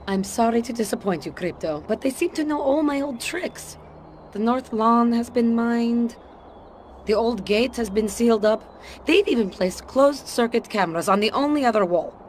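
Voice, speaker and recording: A woman's voice: a little high, lively intonation, normal speed, darkish tone, a little hiss or hum, natural voice. A woman playing a shrewd Soviet spy in a video game, speaking with a Russian accent in a guarded, wry way. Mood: grave, businesslike. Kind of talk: video game cutscene voice acting.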